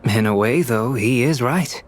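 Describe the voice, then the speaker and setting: An actor playing a calm, courteous scholarly alchemist in a video game, speaking in a measured, formal way. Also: male voice, medium pitch, slight background noise, close to an everyday voice. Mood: calm, thoughtful. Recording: music behind the voice.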